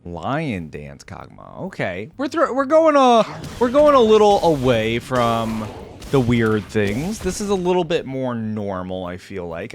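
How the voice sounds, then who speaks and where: Lively man reacting with exclamations. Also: medium pitch. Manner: exclaims and laughs while thinking aloud. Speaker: reaction video host. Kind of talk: casual reaction commentary.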